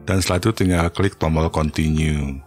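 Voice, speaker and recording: A man giving an informal, step-by-step software tutorial. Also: rumbling low, steady tone, fairly quick, soft background music. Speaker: tutorial host.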